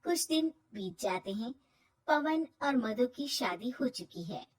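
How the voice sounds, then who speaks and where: A woman narrating a cartoon story in a calm, even storytelling manner. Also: on the high side, unhurried, breathy edge.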